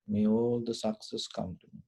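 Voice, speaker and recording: A man leading a guided meditation, giving short, deliberate instructions in a gentle, soothing manner. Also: lowish voice, completely flat delivery, slightly rough. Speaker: meditation teacher.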